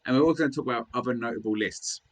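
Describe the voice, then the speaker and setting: A man with a British accent hosting a casual hobby chat, chatty and quick with jokes, laughing often. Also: medium pitch. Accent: British English. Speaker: podcast host.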